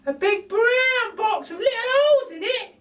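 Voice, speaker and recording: A man voicing a chatty, excitable working-class lady burglar with exclaiming, colloquial speech in a children's storybook. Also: extremely high pitch, a little slow, pitched above the performer's own voice, exaggerated voice. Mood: curious, chatty. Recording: distant microphone.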